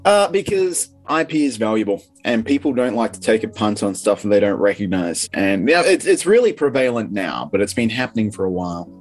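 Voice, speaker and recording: A man's voice: fairly low pitch, very animated, fairly quick, few pauses, faint music behind. A man speaking as a film critic guest in a casual interview, informal, opinionated and explanatory.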